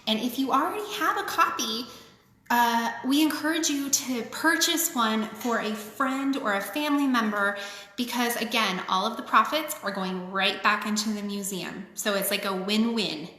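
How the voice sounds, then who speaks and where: A woman with a fairly high, clearly bright voice reads a story aloud to young children. She speaks expressively in simple phrases and drops in chatty asides. The room rings with noticeable echo around her voice. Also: normal speed. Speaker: children's author reading her own book. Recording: echoey, reverberant room.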